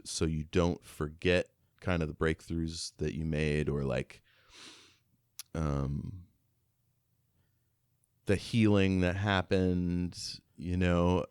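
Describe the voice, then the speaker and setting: A man's voice: very deep voice, clear, resonant tone, no echo, clean studio sound. A man co-hosting a podcast, talking through a book in a casual, reflective, conversational way. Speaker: podcast co-host.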